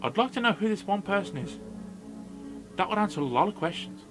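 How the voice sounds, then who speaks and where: A man's voice: high-pitched, lively intonation, a little fast. A man giving a casual movie review as a video host, in a blunt, sweary, informal manner. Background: background music.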